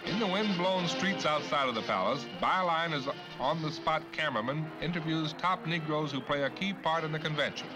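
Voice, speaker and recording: A man's voice: fairly high pitch, a little fast, few pauses, poor audio. An American man reading scripted 1950s newsreel narration as the narrator. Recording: 1950s newsreel film, music bed. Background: newsreel music.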